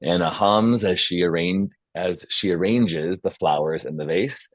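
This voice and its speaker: Male host and script narrator, deep voice, informal delivery, dry sound. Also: a little slow, no echo. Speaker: host and stage-direction reader. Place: video call.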